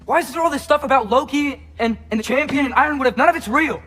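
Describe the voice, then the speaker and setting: A man's voice: extremely high pitch, fast talker, fairly bright tone. A man playing an anxious, frustrated teenage boy demigod in a video game cutscene. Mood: frustrated, confused.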